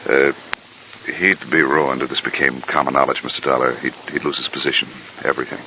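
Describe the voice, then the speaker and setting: An actor playing an anxious, loyal store assistant in a 1950s radio drama, with a hesitant, stammering manner. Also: male voice, a bass voice, flat delivery, fairly quick, sharp tone, exaggerated voice. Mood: anxious, protective. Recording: noisy vintage radio recording.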